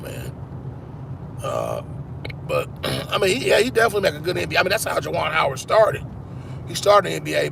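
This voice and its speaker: Host sportivo sulla quarantina, afroamericano, voce gracchiante e cavernosa, rumore di traffico dietro. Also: male voice, fairly high pitch, creaky, noisy background. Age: 40s. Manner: rambling, repeats "man", restarts often. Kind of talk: casual live-stream chat with viewers.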